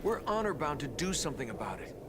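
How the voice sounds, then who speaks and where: An actor playing a dutiful, resolute teenage mutant turtle leader in a video game, earnest and commanding. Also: male voice, a little high, big pitch swings, fast talker, background noise. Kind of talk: video game cartoon acting.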